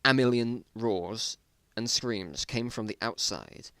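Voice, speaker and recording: A man's voice: on the low side, slow, fairly bright tone, smooth, no room sound. Amused man reading his own story, dry recording. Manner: amused, self-mocking asides at the writing. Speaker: narrator. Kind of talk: amateur dramatic reading of own old story.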